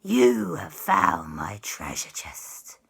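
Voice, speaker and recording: A woman voicing a blustering, grumbling old ghost pirate captain in drawn-out, archaic pirate speech for a children's story. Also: a bass voice, wildly swooping pitch, vocal fry, fairly bright tone, slightly rough, voice pushed down, heavily acted. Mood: gloating.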